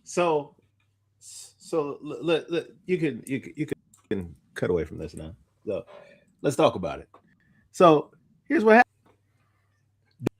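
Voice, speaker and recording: Animated, rambling man chatting casually. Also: mid-range voice, takes it slowly, long pauses, slightly rough. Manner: animated, rambling, stumbling over repeated starts. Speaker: podcast co-host. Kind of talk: casual chat, recounting anecdotes.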